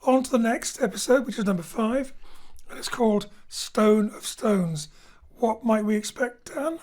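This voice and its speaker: A woman's voice: fairly high pitch, moderate pace, a little mellow. A woman hosting a remote chat show, teasing and upbeat as she questions guests. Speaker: host.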